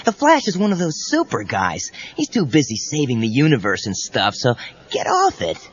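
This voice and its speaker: A man's voice: fairly high pitch, wildly swooping pitch, moderate pace. A man playing a bored, slangy teenage schoolboy who scoffs in disbelief, in an audio drama. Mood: bored, incredulous. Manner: slangy, incredulous. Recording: dull cassette with faint background noise.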